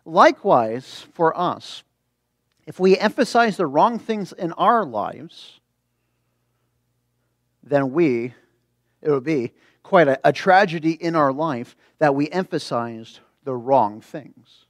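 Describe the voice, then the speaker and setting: A man preaching a sermon as a pastor, reading scripture and explaining it in an animated way, acting out reactions. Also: on the high side, takes it slowly, pauses often, no echo, clean studio sound. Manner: animated, acts out imagined reactions.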